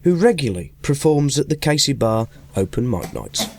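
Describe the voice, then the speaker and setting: A man's voice: mid-range voice, sing-song, moderate pace, creaky, background noise. A man reading scripted narration as a reporter for a radio podcast.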